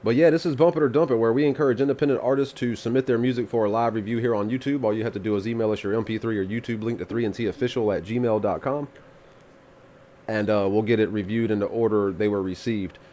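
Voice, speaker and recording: A man's voice: lowish voice, steady tone, fast, dark tone. A man hosting a live music review stream, congested from the flu, talking in a rambling, laid-back way with casual swearing. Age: adult with children.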